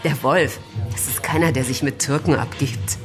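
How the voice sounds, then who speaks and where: A woman's voice: a bass voice, moderate pace. A woman acting a world-weary, teasing and wary street prostitute in a naturalistic German radio drama, with a languid, blunt manner. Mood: guarded. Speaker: actor. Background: music behind the voice.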